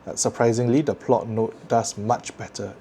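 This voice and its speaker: Low-voiced Singaporean man reviewing tech. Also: steady tone, moderate pace, dark tone, slight background noise. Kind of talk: scripted video review to viewers.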